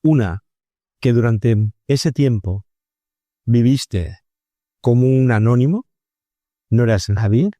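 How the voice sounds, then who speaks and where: A man's voice: medium pitch, slow, long pauses, dark tone, dry recording. A man hosting a podcast interview, asking long conversational questions hesitantly, restarting phrases and checking in with short tags.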